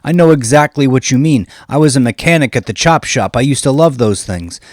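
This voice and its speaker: A man's voice: mid-range voice, lively intonation, a little fast, a little mellow, dry recording, clean studio sound, voice pushed up, close to an everyday voice. A man voicing a big, good-natured nightclub bouncer who talks in hesitant, deferential street slang for an audio story. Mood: earnest, recalling.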